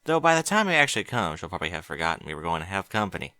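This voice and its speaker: A man's voice: low-pitched, very animated, racing, pushed and bright, no room sound, studio quality, voice pushed down, full character voice. A man voicing an earnest young farm pony mare in a fan fiction audiobook, talking in a plain, folksy way. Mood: friendly.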